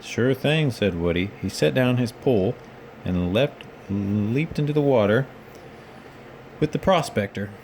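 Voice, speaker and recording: A man with a fairly low voice reads a children's story aloud. His narration is expressive and paced for young listeners. A faint noise sits behind him, as in a home recording. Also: a little slow. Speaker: storybook reader, children's read-aloud host. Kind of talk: expressive bedtime storybook reading.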